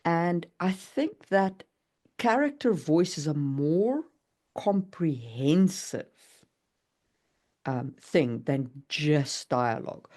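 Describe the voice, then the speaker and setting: A woman's voice: sits low, lively intonation, takes it slowly, mellow. Woman explaining thoughtfully in casual discussion. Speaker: writer co-hosting a writing podcast.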